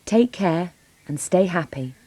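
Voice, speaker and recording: Low, mellow-voiced woman narrator. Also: animated, measured pace, no room sound, rough recording. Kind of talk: narrated history and letter reading.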